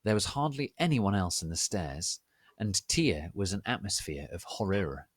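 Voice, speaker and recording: A man giving a theatrical, deliberate, mock-serious dramatic reading of fan fiction. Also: low voice, lively intonation, slightly rough, no room sound. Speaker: reader of online fan fiction.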